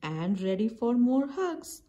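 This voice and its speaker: A woman's voice: a little high, big pitch swings, slow pace, warm tone, voice pushed up, full character voice. A woman voicing a friendly, easygoing, hug-loving bear in a children's storybook. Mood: happy.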